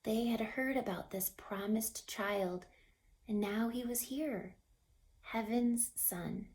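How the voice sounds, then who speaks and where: A woman reading a children's story aloud with dramatic expression, stretching words and dropping into hushed passages. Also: mid-range voice. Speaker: storybook reader.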